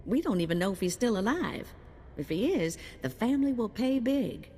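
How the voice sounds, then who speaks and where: An actress playing a folksy, easygoing bounty broker in a video game, speaking colloquially. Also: female voice, fairly high pitch, animated, normal speed, warm tone, long reverb tail. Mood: matter-of-fact, folksy. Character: folksy, easygoing female bounty broker, drawling gambler talk.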